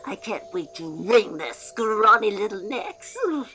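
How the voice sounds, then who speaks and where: Woman doing a feisty old granny for a comedy audio drama, slow and gushy, voice goes up and down. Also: medium pitch, expressive, sharp tone, soft background music. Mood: gleefully combative. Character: feisty, excitable elderly woman from retirement home.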